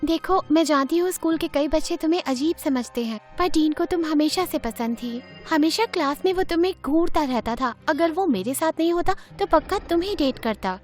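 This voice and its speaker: A woman voicing a scheming, popular teenage girl who talks in a pleading, persuasive way in an animated story. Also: high voice, normal speed, few pauses, faint music behind.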